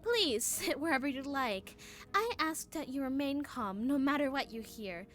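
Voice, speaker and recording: A woman voicing a formal, earnest female knight commander from a fantasy game, reading the lines aloud a little haltingly. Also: high voice, lively intonation, over music. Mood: solemn. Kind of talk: live read-along of game dialogue.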